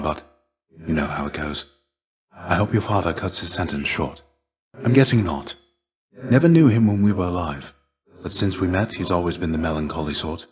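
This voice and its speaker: A low-pitched, world-weary warrior shade, played by a man for a video game. He speaks with measured, archaic formality and theatrical weight. His temper is gentle and earnest, that of a fatherly old mentor guiding a younger pupil. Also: natural voice. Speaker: voice actor.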